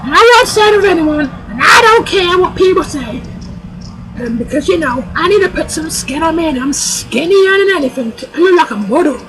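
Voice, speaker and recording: A performer voicing a loud, sassy older woman guest on a comic talk show, rambling and calling everyone "child". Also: very high voice, expressive, moderate pace, low-quality recording. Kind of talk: comic sketch.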